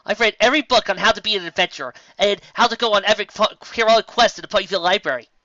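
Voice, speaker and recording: A reader voicing an eager, bouncy young female pony adventurer who talks in an excitable, chatty way. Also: mid-range voice, racing, no room sound, dull audio, pitched above the performer's own voice, close to an everyday voice.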